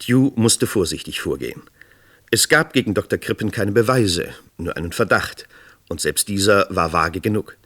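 A man with a husky voice delivering scripted narration for a crime radio play. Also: lowish voice, expressive, normal speed, a bit bright.

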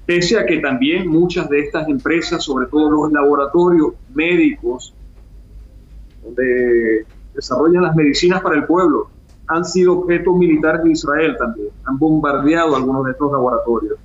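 A man's voice: a little high, moderate pace, roomy sound, highs cut off. A Venezuelan man with a Venezuelan accent, an international analyst speaking emphatically as a guest on a remote radio interview. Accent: Venezuelan Spanish.

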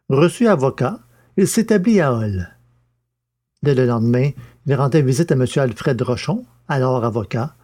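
A man's voice: medium pitch, dark tone. A man reading scripted audiobook narration as the presenter and narrator.

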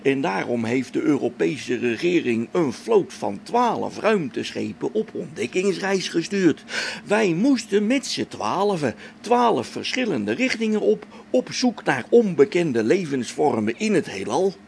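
A man's voice: a little high, big pitch swings, a little slow, creaky, a little mellow, a little hiss or hum, heavily acted. An actor playing a grumbling old-school test pilot and father talking to himself in a spaceship radio drama. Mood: explaining wryly. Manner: muttering to himself, grumbling, chuckling.

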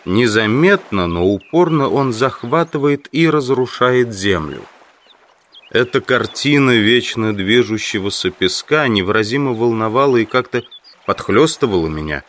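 A man narrating a literary audiobook in the first person, with measured, carefully phrased, expressive delivery. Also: medium pitch.

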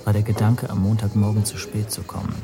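A troubled, brooding teacher narrating a radio drama in a lowish voice. He speaks in a measured way over loud music. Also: little pitch movement, creaky. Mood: brooding, tense. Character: troubled male teacher recalling his ordeal.

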